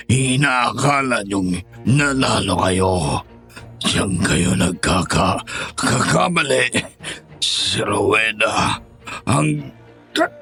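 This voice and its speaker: Horror audio drama villain: defiant aswang monster, menacing, forceful and sharp, drawn-out delivery. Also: male voice, lowish voice, creaky, full character voice. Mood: dying, defiant. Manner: theatrical, menacing, gloating.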